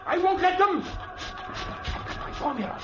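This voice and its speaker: A man's voice: extremely high pitch, expressive, takes it slowly, fairly bright tone, soft background music, heavily acted. A male actor playing a paranoid, agitated professor driven mad by insects, in melodramatic 1940s radio style. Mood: crazed, defiant. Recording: dull old radio drama recording.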